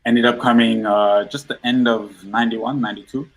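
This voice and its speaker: A middle-aged man from Guyana, a hip hop artist talking as a podcast guest, laid-back and halting, often repeating himself. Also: mid-range voice, steady tone, crowd noise, rough recording. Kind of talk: casual interview chat. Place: podcast studio.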